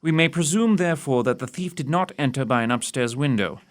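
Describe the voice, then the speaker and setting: A man playing a keen, self-assured gentleman detective in an audio theatre mystery, speaking precisely and formally with a dry wit. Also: fairly high pitch, brisk, lightly acted. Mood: brisk, absorbed.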